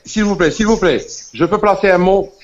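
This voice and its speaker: A man's voice: high voice, normal speed, crowd noise. A man from Constantine with an Algerian accent, arguing insistently as a guest in a heated political debate. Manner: forceful, insistent, repeats himself. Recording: muffled lo-fi audio with faint noise.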